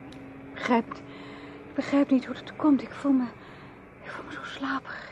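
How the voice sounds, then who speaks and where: An actress playing a frightened, drugged young woman in a radio play, halting and repeating her words. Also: level tone, darkish tone, highs cut off, natural voice. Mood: drowsy, confused. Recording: noisy radio drama with car rumble.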